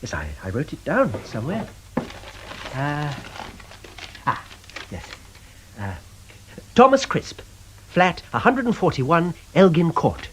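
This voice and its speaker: An actor playing a mild, apologetic vicar in a radio drama, stammering politely in a husky voice. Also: male voice, fairly high pitch, big pitch swings, slow pace, long pauses, slight background noise, low-quality recording. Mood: searching, unsure. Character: flustered, apologetic, mild-mannered male locum vicar.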